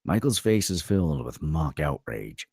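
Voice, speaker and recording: Very deep, gravelly male narrator. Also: sing-song, moderate pace, a little mellow, dry recording, studio quality. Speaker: narrator reading stage directions. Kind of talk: scripted narration at a film table read.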